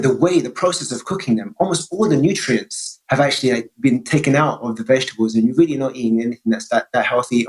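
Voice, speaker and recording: A man guesting on a podcast, talking in a relaxed, reflective way and explaining with examples and frequent check-ins with the listener. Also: mid-range voice, poor audio. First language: English. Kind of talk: conversational interview.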